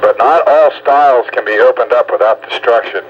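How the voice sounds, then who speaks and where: Male, casual radio talk, muffled sound with clear static noise. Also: medium pitch, brisk. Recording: dull radio transmission with static.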